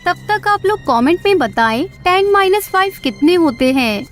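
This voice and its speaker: A woman's voice: very high-pitched, animated, moderate pace, soft background music. A woman narrator reading a scripted story for children.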